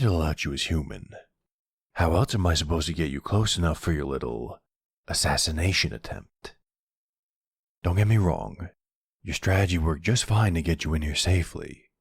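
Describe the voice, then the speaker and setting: A man's voice: no room sound, heavily acted. A man playing a smug, flirty, teasing vampire in an intimate ASMR roleplay, with a playful, taunting drawl close to the microphone. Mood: persuasive. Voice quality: deep, gravelly, husky. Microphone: close studio-style microphone, dry.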